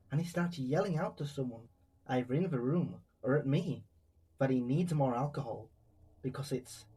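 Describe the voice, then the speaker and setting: A male true crime narrator reads scripted, first-person creepy tales. His voice is mellow and relaxed, with a husky, slightly rough texture. He sits at an ordinary middle pitch for a man. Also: rough recording.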